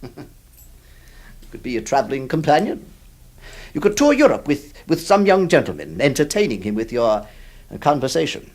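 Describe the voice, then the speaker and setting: An actor playing a troubled, kindly lawyer who narrates and stammers with many hesitations. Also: male voice, medium pitch, big pitch swings, moderate pace, long pauses, a little mellow. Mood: coaxing. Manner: hesitant, stammering, full of uh's. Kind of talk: old radio drama acting.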